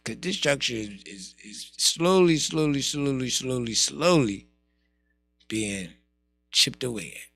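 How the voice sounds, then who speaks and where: Gravelly, forceful American male radio host. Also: a little high, no echo. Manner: repeats phrases for emphasis; emphatic, streetwise slang. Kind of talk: casual morning radio show patter.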